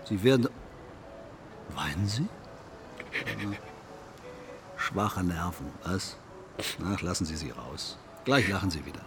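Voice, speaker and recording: An actor in a German radio drama playing a cool, cynical pragmatist, blunt, needling and matter-of-fact. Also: male voice, sits low, animated, measured pace, noisy background. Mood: mocking. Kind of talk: radio drama acting, literary dialogue.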